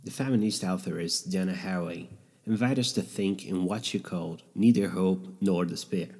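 A low-voiced man with a husky edge reads his podcast script in a reflective, measured way. He speaks English as a second language, his first being Portuguese. The recording carries a long, trailing reverb. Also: warm tone. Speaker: podcast host. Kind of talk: scripted narration. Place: bedroom in a noisy neighborhood.

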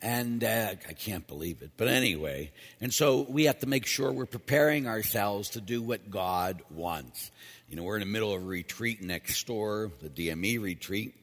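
A priest preaching in a church, a man with a lowish, husky and slightly hoarse voice. He talks to the congregation in a conversational way and drives his points home with repetition. The sound carries a long reverb from the church hall. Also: expressive, moderate pace, fairly bright tone. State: losing his voice. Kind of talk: homily.

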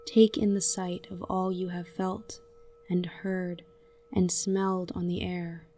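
A woman narrating a scripted guided meditation in a gentle, soothing manner, leaving long pauses between short phrases. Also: lowish voice, little pitch movement, far from the mic, slight background noise.

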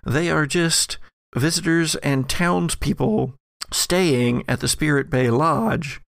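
A man narrating a tabletop horror game as game master, setting scenes theatrically with deliberate pauses. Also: a little high, gravelly, a bit bright, no echo, clean studio sound. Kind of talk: narrating an actual-play horror roleplaying game.

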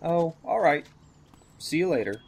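A man's voice: on the high side, lively intonation, a little slow, vocal fry, husky, heavily acted. A young man playing a polite, persistent, watchful classmate in an amateur radio drama, speaking somewhat formally.

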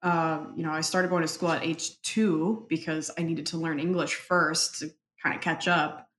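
A woman's voice: low voice, steady tone, fairly bright tone, a little hiss or hum. Reflective woman with a roomy echo. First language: Spanish. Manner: thoughtful, halting, many fillers. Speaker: interview guest. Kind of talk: reflective personal interview. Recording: echoey room, faint ambience.

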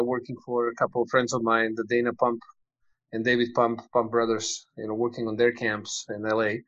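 Halting man on a muffled call. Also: medium pitch, a bit bright. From Montenegro. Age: 40s. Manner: halting, many fillers and restarts. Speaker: basketball club figure, former player. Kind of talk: remote interview.

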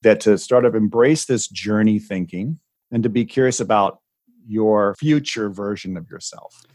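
A man's voice: lowish voice, moderate pace, a little mellow, no echo, clean studio sound. A man co-hosting a podcast in casual chat, reflective and thoughtful, often repeating words as he thinks. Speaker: podcast co-host, coach.

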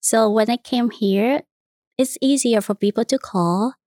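Vietnamese lady in her 30s, halting careful english, lots of ums, dry close sound. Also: fairly high pitch, darkish tone, dry recording. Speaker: interviewee, nun. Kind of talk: casual interview answers.

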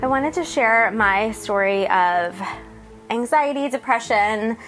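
A woman introducing herself and her story in a halting, reflective way. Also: on the high side, expressive, a bit bright, faint music behind. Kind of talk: video introduction.

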